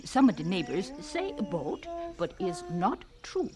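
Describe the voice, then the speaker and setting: Sweet german farm wife, broken english, voice goes way up and down, old radio play. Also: female voice, on the low side, very animated, measured pace, over music. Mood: gentle, proud. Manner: broken English, warm and fussing. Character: kindly older German farm wife, gentle and proud. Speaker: actress in radio drama. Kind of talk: 1940s radio drama acting.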